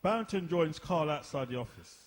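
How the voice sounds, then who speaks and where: A man narrating scene directions for a radio play in a halting delivery. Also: fairly high pitch, animated. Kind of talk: scripted narration of stage directions. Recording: echoey hall, music behind.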